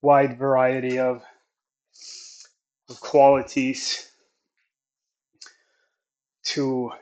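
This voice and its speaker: A man whose first language is Swedish talks in English through his workout. His delivery is unhurried and thoughtful, with many pauses and restarts. His pitch stays flat and even. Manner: slow, thoughtful, halting phrasing. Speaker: athlete training on camera. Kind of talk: reflective training vlog narration.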